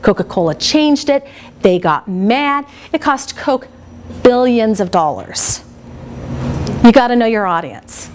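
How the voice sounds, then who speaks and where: A woman with a big voice teaching a workshop to a small group, chatty and lively and quick to laugh, speaking in a meeting room without a microphone. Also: on the high side. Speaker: speaker trainer.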